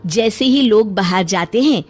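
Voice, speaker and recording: Slow, expressive woman narrator. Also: medium pitch, breathy edge, soft background music. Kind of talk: scripted children's story narration.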